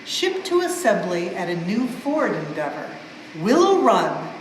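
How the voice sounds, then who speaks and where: A woman reading a rhyming storybook aloud in an expressive, measured way, as for a museum storytime. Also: medium pitch. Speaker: museum staff member reading a children's book aloud. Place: indoors in a museum exhibit space. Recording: very echoey reverberant hall, faint background noise.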